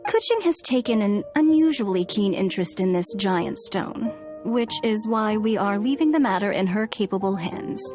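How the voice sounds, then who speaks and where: A woman's voice: mid-range voice, expressive, moderate pace, over music, lightly acted. An actress playing a poised, aristocratic female ruler in a video game, formal, composed and coolly teasing.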